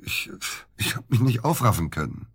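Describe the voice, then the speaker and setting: A man acting in a German radio drama as an evasive, dejected doctor under suspicion, husky and halting. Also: fairly low pitch, very animated, a bit bright, dry recording, studio quality, full character voice. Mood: dejected, defensive. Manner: halting, hesitant, defensive.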